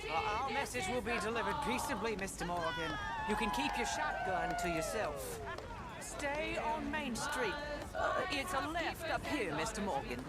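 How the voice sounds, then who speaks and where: A fervent posh-mannered suffragette for a game, high swoopy voice, loud crowd noise behind her. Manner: formal, proclaiming, excitable. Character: fervent, genteel woman suffragist leading a rally.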